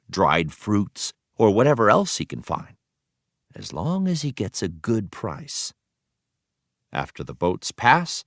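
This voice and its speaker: Male educational narrator, deep register, animated read-aloud delivery for young learners, dry close sound. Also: no echo. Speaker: teacher reading aloud. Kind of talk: read-aloud narration of a children's history text.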